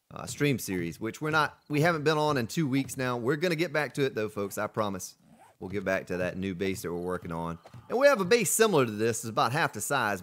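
A man's voice: lowish voice, a bit bright. A man from Florida chatting casually on a live game stream, answering viewers between gameplay remarks. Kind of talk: casual live-stream chat while playing.

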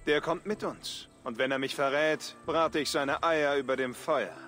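A forceful, solemn Norse warrior. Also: male voice, a little high, a little slow, soft background music. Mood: grave, resolute. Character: stern, commanding Norse warrior, adult man. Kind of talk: dramatic video game dialogue.

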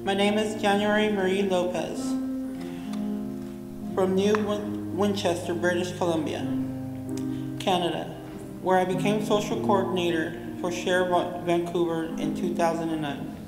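A woman reads aloud solemnly in a slightly low voice. She is in an echoing church, with loud guitar playing behind her. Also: little pitch movement, a little slow. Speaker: volunteer reader. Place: church lectern. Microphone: lectern microphone.

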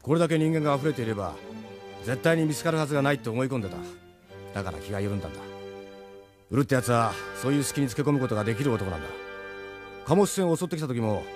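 An actor playing a steady, fatherly captain in an audio drama, blunt and reassuring. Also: mid-range voice, racing, long pauses, music in the background, natural voice. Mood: reassuring, grim. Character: steady, fatherly male captain of a resistance crew. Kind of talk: Japanese audio drama acting.

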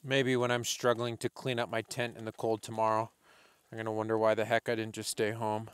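A man in his late twenties, cold, vlogging from inside a small tent on a snowy summit in a thoughtful, candid, self-deprecating way, with halting restarts. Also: lowish voice, monotone, clear, resonant tone. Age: late 20s. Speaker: outdoor filmmaker. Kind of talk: casual reflective vlog narration.

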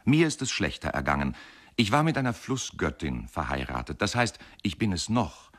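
An actor narrating a radio play as a wry, courteous older husband, measured and dryly ironic. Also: male voice, low voice, big pitch swings, a bit bright, exaggerated voice. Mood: wry, reminiscing. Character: wry, courteous older husband reminiscing about his marriage. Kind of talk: radio play monologue, first-person narration.

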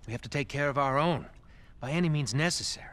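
An actor playing a calm, menacing middle-aged leader of survivors in a video game, measured and softly persuasive. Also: male voice, on the high side, natural voice. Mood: menacingly calm. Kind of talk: cinematic video game acting.

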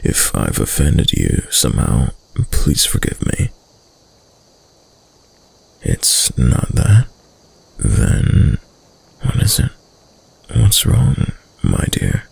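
A man performs a possessive, seductive prince of dark reputation for a roleplay audio drama. The voice is extremely deep and thick with gravel, breathy and husky. He speaks slowly and suavely, drawing out his words with hushed, dominant control. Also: huge pitch swings, full character voice. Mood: smooth, coaxing. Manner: slow, hushed, suave, deliberate. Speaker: voice actor.

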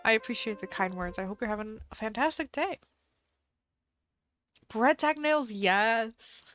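A woman's voice: on the high side, a little slow, a bit bright. A woman live streaming a game in casual chat, chatty and giggly, her voice slightly stuffy from a cold. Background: faint background music.

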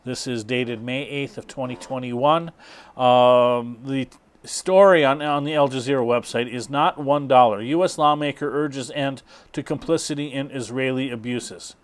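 A middle-aged man introducing and reading aloud an article in a halting, hesitant way. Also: mid-range voice. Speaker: presenter.

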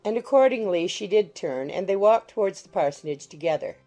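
A woman's voice: mid-range voice, animated, fairly quick, warm tone. A woman narrating a classic novel as a scripted audiobook, in a literary reading style. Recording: music behind the voice.

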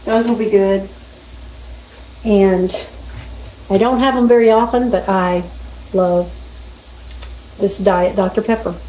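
A middle-aged or older woman chatting casually in a folksy, unhurried way as she vlogs at home. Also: medium pitch, fairly even intonation, mellow. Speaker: home vlogger. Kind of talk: casual chat while cooking. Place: home kitchen, indoors. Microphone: camera a few feet away. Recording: roomy kitchen with sizzling pan noise. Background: frying and sizzling food.

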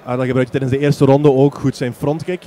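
A man's voice: mid-range voice, level tone, fairly quick, warm tone. A man giving live kickboxing analysis as a co-commentator. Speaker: fight co-commentator.

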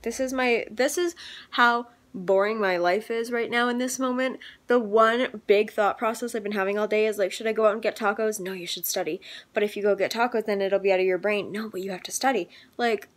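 A young woman in her twenties talking casually to a handheld camera, chatty and swearing freely, swinging between tired and excited. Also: mid-range voice, brisk. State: congested. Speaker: vlogger. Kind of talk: casual vlog chat. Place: home living room, then car and mall. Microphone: handheld camera at arm's length.

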